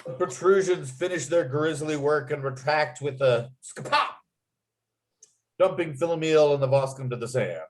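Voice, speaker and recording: A man's voice: a little high, normal speed. A man narrating at a script read, reading out stage directions and scene headings.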